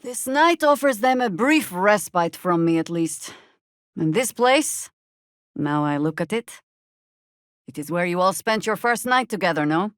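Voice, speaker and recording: An actress playing a seasoned, middle-aged druid warrior woman in a fantasy video game, commanding and wry, with a dry, deliberate delivery. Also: medium pitch, expressive, no room sound, lightly acted. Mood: dry, musing.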